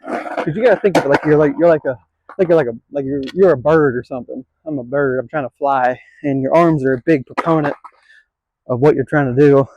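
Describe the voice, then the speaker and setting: A man's voice: mid-range voice, brisk, dry recording. Rambling self-deprecating young man, lo-fi. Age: 20s. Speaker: skateboarding vlogger. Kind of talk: casual self-filmed vlog commentary.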